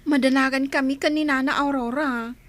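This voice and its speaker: A woman acts an earnest young villager fretting through a radio drama. The voice is high and plaintive, with an Ilocano accent. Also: fairly even intonation, moderate pace, natural voice. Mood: anxious, grateful. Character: worried young village companion waiting at the hospital.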